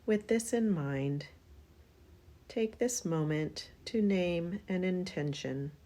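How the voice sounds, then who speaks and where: A woman guiding a relaxation meditation in a soothing, unhurried manner, leaving long pauses between phrases. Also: lowish voice, dark tone. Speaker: yoga nidra meditation guide.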